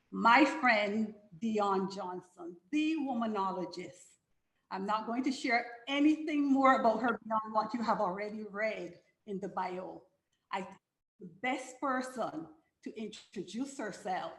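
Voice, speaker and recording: A woman hosting a live online conversation, speaking slowly and deliberately. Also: mid-range voice, fairly bright tone. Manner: slow and deliberate, prayerful. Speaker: live show host. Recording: echoey room.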